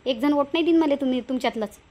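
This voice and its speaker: A woman's voice: sits high, rapid-fire, warm tone, lightly acted. A woman voicing a cautious, bossy village woman in a Marathi cartoon, with a rural Vidarbha accent and a homely, questioning way of talking. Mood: modest, explaining. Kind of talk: Marathi cartoon moral-story dialogue.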